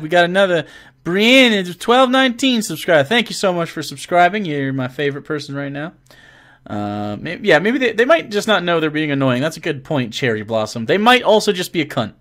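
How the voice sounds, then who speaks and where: A man's voice: on the high side, sing-song, moderate pace, fairly bright tone. Profane, joking young man on a live stream. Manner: reads donations and chat messages aloud. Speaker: game master answering viewer questions. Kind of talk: casual live-stream chat.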